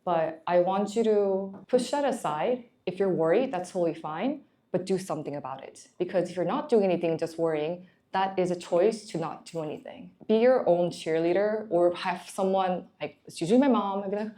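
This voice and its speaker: A woman's voice: medium pitch, quick pace, dark tone. A young woman from Korea, a management consultant, giving candid, encouraging career advice in a chatty way.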